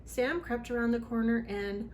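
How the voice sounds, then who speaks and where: A woman's voice: on the high side, moderate pace, a little mellow. A woman hosting a children's read-aloud, reading a storybook expressively with dramatic emphasis.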